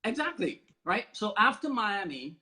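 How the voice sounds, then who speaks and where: A man from Barbados with a notably high-pitched voice hosts a relaxed conversation. He talks in an animated, chatty way and laughs often as he tells his stories. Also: moderate pace. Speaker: host. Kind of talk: casual conversation.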